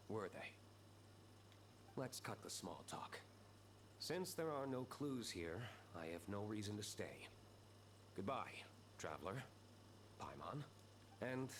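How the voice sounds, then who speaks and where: A man's voice: fairly low pitch, slow pace, pauses often. An actor playing a stern, aloof young nobleman in a game, cool, clipped and formal. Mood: cold. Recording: game audio with steady noise.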